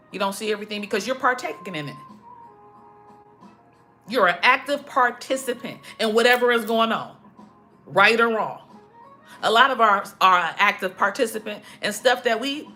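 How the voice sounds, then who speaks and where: Preachy woman with a bright voice. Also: on the high side. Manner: preachy, emphatic, repeats herself. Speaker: motivational speaker. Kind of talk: casual motivational talk to followers. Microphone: phone.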